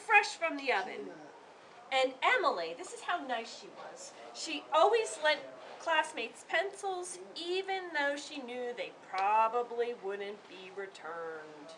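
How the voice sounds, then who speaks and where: A woman reading a children's story aloud in an expressive, theatrical way, pausing to engage her listeners. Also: high-pitched. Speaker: author reading to a group of children. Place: room with children responding. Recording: distant microphone, noisy room.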